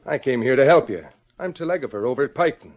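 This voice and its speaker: An actor playing a sly small-town telegrapher who talks bluntly and wheedles, in 1940s radio style. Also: male voice, mid-range voice, lively intonation, fast talker, lightly acted. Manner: blunt, wheedling frontier talk. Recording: dull lo-fi old radio recording.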